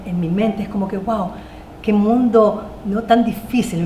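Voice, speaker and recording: A woman's voice: mid-range voice, warm tone. A woman novelist answering panel questions in a hesitant way, with many fillers and restarts. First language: Spanish. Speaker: novelist guest. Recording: echoey room with faint noise.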